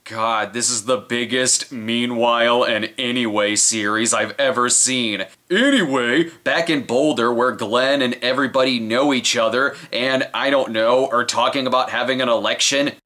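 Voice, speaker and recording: A man's voice: mid-range voice, normal speed, hardly any pauses, pushed and bright. A man hosting a comedic film review voice-over, full of sarcastic, mocking asides.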